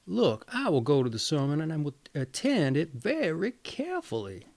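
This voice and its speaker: A man voicing a gullible, eager peasant husband in a storybook, earnest, excited and long-winded. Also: a little high, normal speed, gravelly, heavily acted. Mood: concerned.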